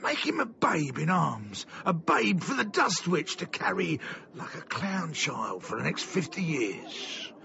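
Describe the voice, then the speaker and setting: Radio-play villain: silky carnival master, theatrical taunts, slow forceful delivery with creaky edge, dull recording. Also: male voice, a little high, sing-song, heavily acted. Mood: taunting, threatening. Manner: theatrical, taunting, savours his words. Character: menacing, silky carnival master tempting captive boys. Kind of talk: radio drama acting.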